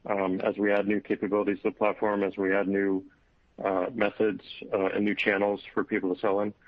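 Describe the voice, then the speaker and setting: A man with a fairly low, very even voice answers as a company executive. His phrasing is halting and unscripted. The recording is a narrow, phone-like conference line. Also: dry recording. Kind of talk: unscripted business answer on an earnings call.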